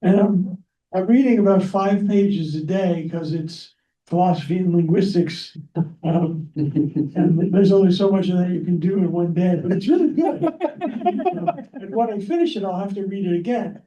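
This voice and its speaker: A man moderating a library panel, speaking off the cuff in a halting way. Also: high voice, mellow, a little hiss or hum. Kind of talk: unscripted remarks at a library panel. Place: echoing library event room. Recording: roomy, muffled, distant microphone.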